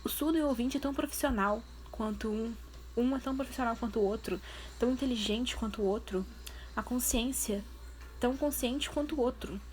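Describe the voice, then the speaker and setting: A woman's voice: on the high side, a little fast, darkish tone, slight background noise. A woman with a Brazilian Portuguese accent, talking straight to her viewers in a casual vlog and pressing her points with repeated questions. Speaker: vlogger voicing her deaf partner's signing.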